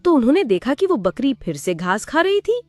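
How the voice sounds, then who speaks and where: A woman narrating a moral fable for a Hindi cartoon in a plain, steady storytelling way. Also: high voice, a little fast, no room sound.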